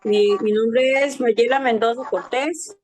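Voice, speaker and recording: A woman's voice: fairly high pitch, slow, a little hiss or hum, rough recording. A woman from Costa Rica who teaches at a house church, introducing herself hesitantly and using "este" as a filler. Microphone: video call.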